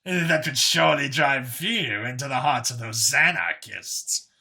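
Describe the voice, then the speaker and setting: A man's voice: mid-range voice, measured pace, gravelly, sharp tone, full character voice. A man voicing an eager, callous male alien councilor who speaks in a pompous, emphatic way in an audiobook story. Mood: insistent.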